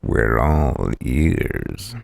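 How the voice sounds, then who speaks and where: A man's voice: a bass voice, big pitch swings, very slow, gravelly, darkish tone, a little hiss or hum, voice pushed down, exaggerated voice. A man voicing a stern, cool prosecutor in a visual novel, curt and commanding.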